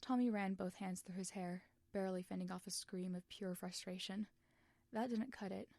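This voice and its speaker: Creaky-voiced woman narrating a dramatic story. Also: medium pitch, steady tone, moderate pace, darkish tone, no room sound. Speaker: fan fiction narrator. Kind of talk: expressive scripted story narration.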